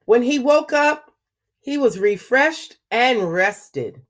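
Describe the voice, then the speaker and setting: A woman reading a picture book aloud to children, slow and expressive, pausing to ask them questions. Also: on the high side. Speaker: children's story reader. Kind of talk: read-aloud storytelling for children.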